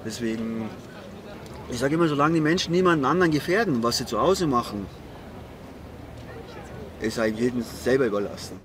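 A man's voice: mid-range voice, lively intonation, a little fast, long pauses. A middle-aged man giving his opinion casually in a street interview. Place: outdoors on a city square. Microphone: handheld interviewer's mic. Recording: faint outdoor city traffic noise.

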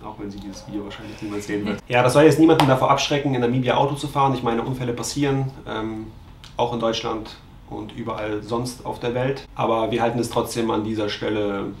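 Gravelly man reading out travel costs. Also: medium pitch, steady tone, fast, roomy sound, a little hiss or hum. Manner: matter-of-fact, reads figures from notes. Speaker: travel vlogger. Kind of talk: scripted cost breakdown to camera. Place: bedroom with mosquito net, indoors.

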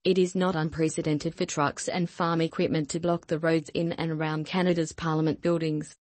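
A woman reads the news in a low, steady voice with almost no rise and fall. Her delivery is even and scripted, like a newsreader. The voice-over is dry and close to the mic. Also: brisk, no room sound. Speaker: news reader.

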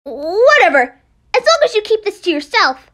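Woman voicing a loud, bossy anime schoolgirl. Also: very high-pitched, very animated, normal speed, exaggerated voice. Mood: indignant. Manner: blunt, loud, scolding. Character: brash, hot-tempered, bossy teenage girl, former idol. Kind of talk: amateur anime game voice acting.

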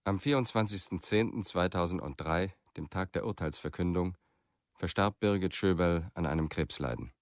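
Radio drama: court official, lowish voice, impersonal, sober, formal reading. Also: male voice, moderate pace. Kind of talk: formal read-out in radio play.

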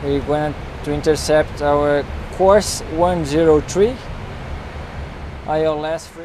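A man, a co-pilot, calmly explaining the landing approach step by step. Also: a little high, takes it slowly. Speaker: airline co-pilot. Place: airliner cockpit. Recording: noisy cockpit with engine hum.

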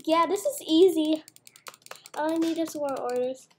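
A woman's voice: high voice, normal speed. A young girl chatting playfully as she plays a restaurant game at home, talking through each move. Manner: playful, chatty, narrating her own moves. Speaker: gamer. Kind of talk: casual gameplay chat. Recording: faint background noise with mouse clicks.

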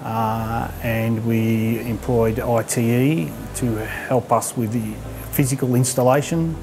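A man's voice: medium pitch, fairly even intonation, slow pace, music in the background. A man, speaking in an interview testimonial.

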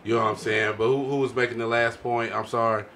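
An American man with a medium-pitched voice hosts a casual panel talk. He speaks conversationally into a microphone, with some room noise behind him. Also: fairly even intonation, fast, fairly bright tone. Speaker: podcast host. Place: indoor podcast table with several men. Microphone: studio microphone on boom arm. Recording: noticeable background noise, room ambience.

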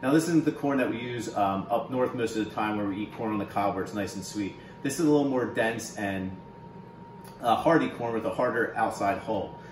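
A man in his thirties giving a relaxed, instructive cooking demo in a home kitchen, with plenty of ums and uhs. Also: lowish voice, a little fast, slight background noise. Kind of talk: casual cooking demonstration. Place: large home kitchen, indoors. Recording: echoey kitchen room sound.

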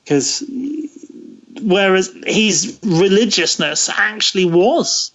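A man being interviewed, explaining history conversationally in a hesitant, halting way with frequent pauses mid-phrase. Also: sits high, fairly bright tone, highs cut off. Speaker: guest, interviewee.

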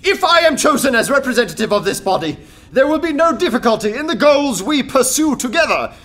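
An actor playing an anarchist poet in a radio play, making an earnest, nervous speech that pleads meekness. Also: male voice, very high-pitched, very animated, a little fast, fairly bright tone, exaggerated voice. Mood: uneasy, fervent.

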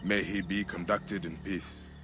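An actor playing a grave, steady Egyptian warrior and father who speaks in a calm, measured, formal way. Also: sits low, soft background music. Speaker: Medjay protector.

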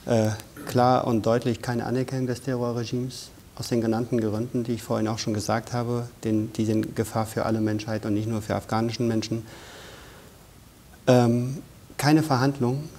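A man's voice: medium pitch, little pitch movement, moderate pace, darkish tone, a little hiss or hum. A man in his thirties, a guest in a studio interview, speaking in an earnest, emphatic way. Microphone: lapel.

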